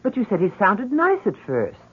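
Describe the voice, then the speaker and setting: A woman's voice: mid-range voice, wildly swooping pitch, normal speed, husky. An actress playing an anxious, doting middle-aged mother in an old radio drama, hesitant and fretful as she coaxes. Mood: worried. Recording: dull, hissy vintage radio recording.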